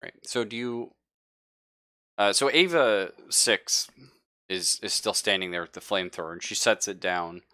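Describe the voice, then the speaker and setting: Very deep-voiced man running a tabletop game. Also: measured pace, pushed and bright, dry recording, clean studio sound. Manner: informal, explanatory. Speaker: game master. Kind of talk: improvised narration of a tabletop game.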